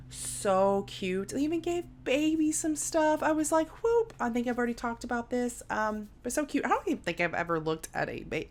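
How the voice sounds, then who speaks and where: A woman giving a casual, unscripted video game review, rambling with lots of filler words and repeated phrases. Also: medium pitch. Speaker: gaming video creator, reviewer. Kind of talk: casual unscripted review commentary over gameplay.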